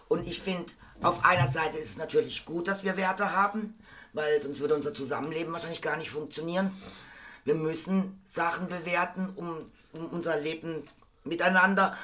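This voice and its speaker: Hoarse bright-voiced man, rambling podcast host. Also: fairly high pitch. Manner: rambling, self-mocking, chuckling. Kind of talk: casual podcast chat.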